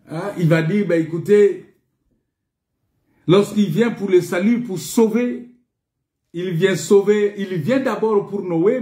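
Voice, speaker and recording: A man preaching a sermon in an emphatic, repetitive teaching manner, pausing on rhetorical questions. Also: sits high, dark tone. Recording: echoey, reverberant room.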